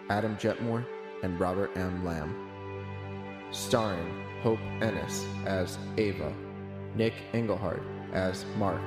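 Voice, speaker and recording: A man announcing scripted credits as a formal list at the end of an audio drama. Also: on the low side. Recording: roomy echo under loud music.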